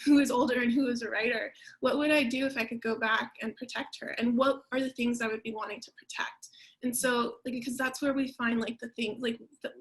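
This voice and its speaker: A woman speaks with a very creaky voice that sits fairly high but stays flat in intonation. She reflects earnestly and thoughtfully as an author on a panel, feeling her way through sentences. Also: rough recording. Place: online video event.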